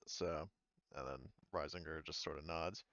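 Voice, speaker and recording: A man's voice: a bass voice, steady tone, normal speed, a bit bright, dry recording. A man narrating a tabletop game casually as game master, on a muffled recording.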